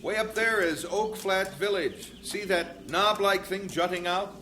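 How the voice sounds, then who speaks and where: Audio drama: hearty expedition-leading husband, raised high voice, slow phrases, birds and faint noise behind. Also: male voice, bright, forceful tone. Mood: hearty, eager. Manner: calling out, encouraging.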